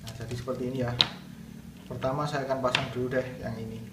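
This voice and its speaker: A man's voice: mid-range voice, little pitch movement, fast. A man narrating a casual hands-on tutorial, explaining it step by step as the host. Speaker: video host demonstrating product installation. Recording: roomy, distant mic, clear background noise.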